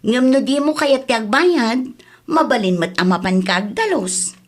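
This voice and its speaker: A woman's voice: fairly high pitch, animated, a little slow. A woman playing an Ilocano-accented village mother in a comedy-drama, chatting about everyday matters. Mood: friendly, chatty.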